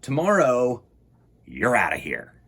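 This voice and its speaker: A man voicing a stubborn but soft-hearted young child who owns a pet in a storybook, speaking earnestly and emphatically. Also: mid-range voice, huge pitch swings, measured pace, husky, full character voice. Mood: stern.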